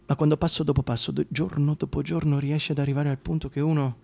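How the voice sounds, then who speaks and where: A man's voice: a little high, flat delivery, fast, gravelly, warm tone. An actor playing a scheming, sadistic dictator king in a theatrical radio monologue, crude, mocking and brooding, addressing the listener directly. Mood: smug musing.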